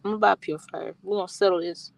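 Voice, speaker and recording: A woman with a soft, quiet voice, sounding tired and a little stuffy. She rambles in loose, restarting sentences. Her voice is dry and close, with no room around it. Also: fairly low pitch, fairly even intonation, very fast, vocal fry, dry recording, poor audio. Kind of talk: casual late-night chat.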